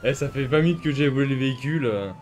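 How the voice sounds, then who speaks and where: A man's voice: mid-range voice, quick pace, a little mellow, a little hiss or hum. A man giving casual, slangy gameplay commentary in a grumbling way, like a streamer. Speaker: gaming video maker, streamer.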